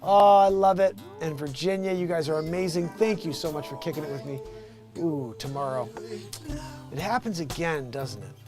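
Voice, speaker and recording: A man hosting a casual live stream, rambling to his viewers in an animated, boastful and emphatic way. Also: on the high side, normal speed, music in the background. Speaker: live-stream entertainer and host.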